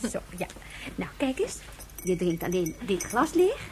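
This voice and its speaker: A woman playing a kindly hospital nurse in a radio drama, gentle and coaxing. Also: fairly low pitch, very animated, unhurried. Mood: soothing. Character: kindly, soothing young-spirited female hospital nurse. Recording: clearly noisy radio play recording.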